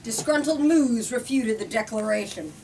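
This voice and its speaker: A woman's voice: on the high side, normal speed, a little mellow. A woman reading a story aloud as host, dramatic and informal in her delivery. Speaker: host reading book excerpts. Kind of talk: expressive book reading with casual asides. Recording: distant mic, faint background noise.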